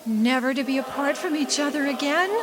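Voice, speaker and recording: Actress as young female sweetheart, high voice, adoring, romantic, earnest, radio play, slight room noise. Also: a little fast, lightly acted. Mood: loving, anxious. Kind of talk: radio drama acting.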